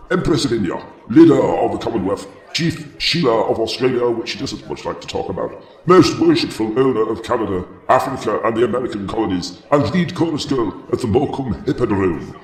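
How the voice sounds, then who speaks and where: An actor playing a grandiose herald in a comic audio drama, announcing royalty with booming ceremony. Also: male voice, darkish tone, reverberant, recorded from a distance, lightly acted, rough recording.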